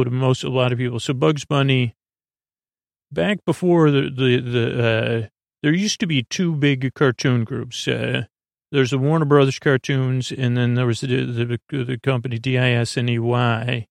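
A man hosting a sleep podcast in a meandering, halting monologue that keeps drifting into digressions and false starts. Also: mid-range voice, creaky, dry recording, clean studio sound. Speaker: podcast host.

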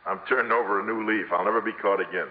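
A man acting a fast-talking small-time con man with joking patter in a 1950s radio comedy, on a muffled vintage broadcast. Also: on the low side, a little hiss or hum, close to an everyday voice. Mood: sweet-talking.

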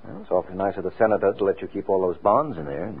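Tinny old-radio wisecracking private eye, husky and quick. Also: male voice, deep voice, lively intonation, full character voice. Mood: sly, teasing. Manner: breezy, sardonic, teasing. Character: wisecracking, cool male private detective, sly and flirtatious. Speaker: radio drama lead actor. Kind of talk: 1940s radio detective acting. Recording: tinny, band-limited old radio recording.

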